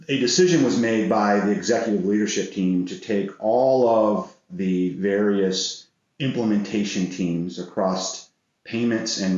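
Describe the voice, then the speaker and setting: A low-pitched, middle-aged American man speaks in a strongly reverberant room, with a slightly dull sound. He talks as an interview guest, reflecting on his working life in an earnest, measured way. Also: a little mellow. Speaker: interview guest, business executive.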